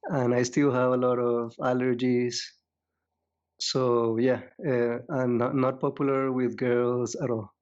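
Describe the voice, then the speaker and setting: A man from Colombia speaking as an interview guest, modest and soft-spoken, often repeating words as he talks. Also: medium pitch, little pitch movement, takes it slowly, clean tone, dull audio. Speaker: guest, tea adviser. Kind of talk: casual interview.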